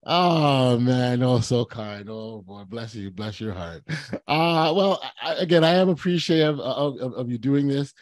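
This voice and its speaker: A man's voice: mid-range voice, animated, unhurried, dry recording. Effusive man hosting a podcast. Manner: effusive and gushing, with many restarts and repeated words. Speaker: podcast host. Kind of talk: podcast intro and interview chat.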